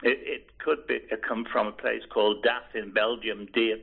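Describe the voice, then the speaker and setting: An elderly man in his eighties from Liverpool, England, talks slowly through his memories with a low, creaky and husky voice. He stops and restarts often. The sound is tinny and narrow, as if it comes down a telephone line. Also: steady tone. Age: 80s. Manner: hesitant, halting, with many restarts. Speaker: oral history interviewee. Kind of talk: rambling reminiscence. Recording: over a phone line.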